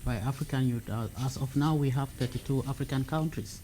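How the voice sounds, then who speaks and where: A young man from Cameroon answering interview questions as a guest, speaking haltingly with many fillers. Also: mid-range voice, noisy background. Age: young adult. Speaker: youth organization president, guest.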